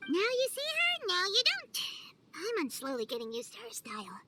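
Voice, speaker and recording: A woman voicing a tiny, cheeky, excitable female game companion with a squeaky, childlike voice. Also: moderate pace, slight background noise, full character voice. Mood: musing. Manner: exclaims, speaks of self in third person. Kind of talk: video game character acting. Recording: music behind the voice.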